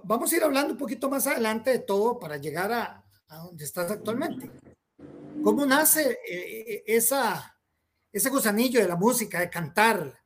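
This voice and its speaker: A man hosting a TV talk show, pausing and searching for words as he talks. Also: high-pitched. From Costa Rica. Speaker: show host, producer.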